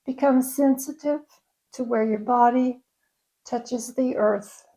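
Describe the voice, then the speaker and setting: A woman yoga nidra instructor leading a guided meditation in a gentle, soothing, unhurried way. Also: a little high, low-quality recording.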